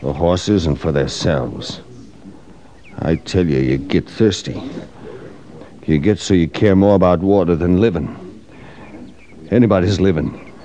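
Brooding, low-voiced cavalry veteran. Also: male voice, measured pace, pauses often, warm tone. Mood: haunted, intense. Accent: American. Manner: folksy drawling frontier soldier speech. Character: talkative brooding cavalry veteran of the Civil War. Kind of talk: old-time radio western acting.

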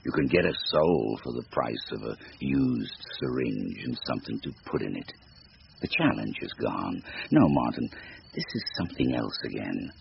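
An actor playing a sinister supernatural bargainer in a radio drama, gravelly, with a deliberate, formal, taunting delivery. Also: male voice, very deep voice, sing-song, full character voice. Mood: amused, disdainful. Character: sinister supernatural stranger, silky, devilish bargainer, bored and mocking. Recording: noisy, muffled radio drama recording.

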